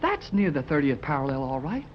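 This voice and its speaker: A man's voice: a little high, wildly swooping pitch, brisk. An actor playing an eager, inquisitive teenage boy with slangy, boyish delivery in 1930s radio serial style. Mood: curious. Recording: dull, noisy 1930s radio recording.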